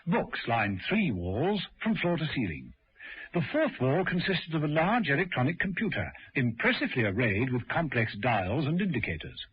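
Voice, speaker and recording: Animated male radio-drama narrator. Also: medium pitch, normal speed, breathy edge. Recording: dull old radio recording.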